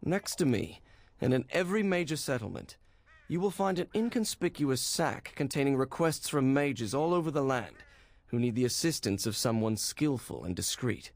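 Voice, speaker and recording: An actor playing a discreet go-between for secret mages in a fantasy game, formal and persuasive. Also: male voice, medium pitch, lively intonation, moderate pace, gravelly, dry recording. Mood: courteous, assured.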